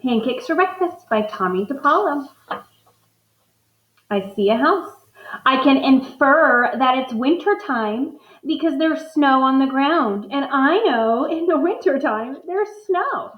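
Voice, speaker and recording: A woman's voice: high-pitched, lively intonation, a little slow, echoey room, lo-fi sound. A woman teacher doing a read-aloud lesson, thinking aloud as she models inferences from the pictures for her students.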